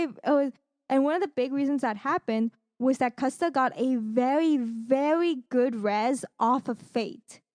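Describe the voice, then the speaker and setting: A woman's voice: a little high, darkish tone, dry recording. A woman co-hosting a podcast, recapping esports matches in a casual, gushing, enthusiastic way. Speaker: podcast co-host.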